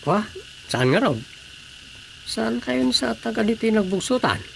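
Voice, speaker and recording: Radio comedy lead: exasperated Ilocano village councilor, creaky yet bright, forceful voice, always questioning and exclaiming. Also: male voice, high voice, very animated, unhurried, a little hiss or hum, exaggerated voice. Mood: puzzled. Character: male village councilor, exasperated comic everyman. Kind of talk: radio comedy-drama acting.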